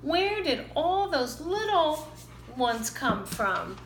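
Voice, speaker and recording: A woman's voice: sits high, big pitch swings, slow pace, fairly bright tone, voice pushed up, full character voice. A woman voicing a fretful, plaintive mother hen in a children's storybook. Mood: wondering. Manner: clucking, plaintive. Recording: roomy, echoing, some background noise.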